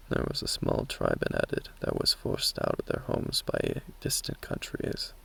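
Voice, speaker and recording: A man playing an earnest, caring magic academy teacher in a soft, whispery ASMR roleplay, speaking in a measured, gently formal way. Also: full character voice. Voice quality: whispery, breathy, mostly unvoiced. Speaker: roleplay voice performer. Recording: low-quality amateur recording.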